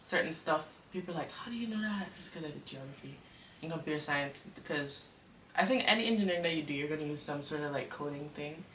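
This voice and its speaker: Bright young woman hosting. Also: low voice, quick pace, recorded from a distance. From Cayman Islands. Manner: bright, giggly, interviewing. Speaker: YouTube host. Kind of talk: casual chat. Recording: echoey room, off-mic, faint room noise.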